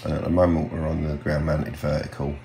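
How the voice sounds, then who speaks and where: An older man with an extremely deep voice full of creaky fry. He talks casually and haltingly, in an unhurried hobby-vlog style. The room sound is noticeable around him. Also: level tone, warm tone. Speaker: radio hobbyist vlogger. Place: small home radio room, indoors. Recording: roomy sound with faint hum.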